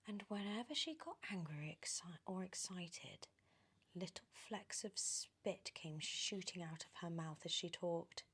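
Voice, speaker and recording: Very unhurried British woman reading a story. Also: on the low side. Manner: animated, slipping into breathy whispers. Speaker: ASMR storyteller.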